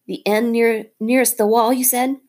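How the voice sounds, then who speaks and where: A woman's voice: a little high, fairly even intonation, moderate pace, a little mellow, pitched above the performer's own voice, lightly acted. A woman voicing a brave, impatient young male mongoose who speaks sharply and commandingly.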